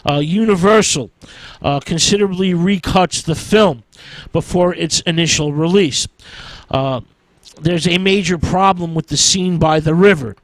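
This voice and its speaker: A man giving an informal film history talk as a radio presenter, in a halting, hesitant way with an "uh" before nearly every phrase. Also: on the high side, no room sound, poor audio.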